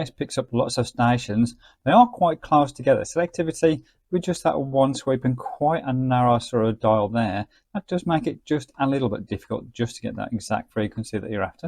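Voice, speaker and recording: A man narrating a casual product review in a chatty, measured, explanatory way. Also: medium pitch, darkish tone, no echo. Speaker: radio gadget reviewer, host.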